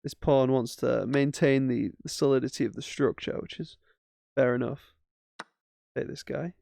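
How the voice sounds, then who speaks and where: A man's voice: mid-range voice, fairly even intonation, moderate pace, gravelly, warm tone, no echo, clean studio sound. A man casually commentating his own chess games as he plays, thinking aloud with self-mocking asides. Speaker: chess streamer.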